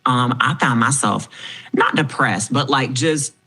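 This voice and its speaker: A man in his twenties, a podcast guest talking casually, frank and animated, swearing freely. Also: mid-range voice, unhurried, slightly rough. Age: 20s.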